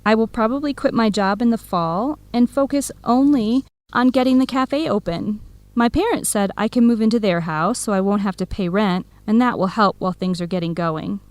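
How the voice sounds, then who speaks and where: A woman's voice: medium pitch, moderate pace, no echo, lightly acted. A woman playing a supportive, frank young best friend in a radio drama, with a direct, encouraging, conversational manner. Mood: hopeful. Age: young adult. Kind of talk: scripted radio drama dialogue.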